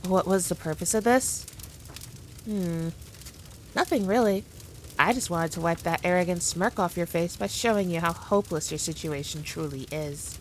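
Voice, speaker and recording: A woman's voice: fairly low pitch, moderate pace, slight background noise, lightly acted. A woman playing a teasing, condescending demon queen in an ASMR roleplay audio drama, taunting the listener with haughty playfulness. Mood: smug, composed. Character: all-powerful demon queen, smug, dominant, teasing, condescending.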